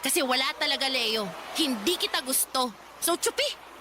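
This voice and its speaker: A woman's voice: high-pitched, lively intonation, moderate pace, noisy background. A woman playing a blunt, dismissive teenage schoolgirl in a radio drama, with curt, exasperated delivery. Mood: exasperated, rejecting. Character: blunt, irritable teenage schoolgirl brushing off her suitor. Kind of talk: Tagalog radio drama acting.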